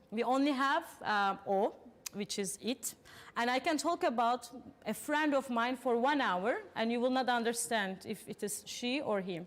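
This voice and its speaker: A fairly high-voiced woman from Turkey, speaking English as a second language. She hosts a panel and walks the audience through figures in an earnest, unpolished way, pausing often on fillers and restarting sentences. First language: Turkish. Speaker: panel host. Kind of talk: presenting statistics to an audience.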